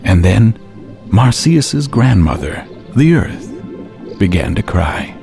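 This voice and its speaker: A man narrating a radio drama as a theatrical storyteller, with measured, dramatic phrasing. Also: on the low side, gravelly, dark tone.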